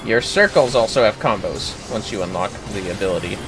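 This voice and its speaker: A man's voice: lowish voice, animated, brisk, poor audio. A man livestreaming gameplay, casually explaining tactics step by step with informal, sweary commentary over music. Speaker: livestreamer, gaming host. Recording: music playing behind the voice.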